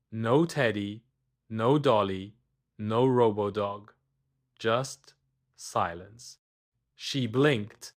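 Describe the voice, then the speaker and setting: Casting: man to narrate kids' bedtime stories, unhurried, mid-range voice, expressive with dramatic pauses. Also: mid-range voice. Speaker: storyteller, narrator. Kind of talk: scripted children's bedtime story narration.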